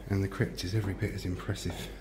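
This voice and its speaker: A man filming a casual walking tour of a church, chatting politely and thinking aloud, sometimes dropping to a hush. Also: sits low, flat delivery, fast talker, background noise. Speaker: vlogger giving a walking tour. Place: large church interior and crypt.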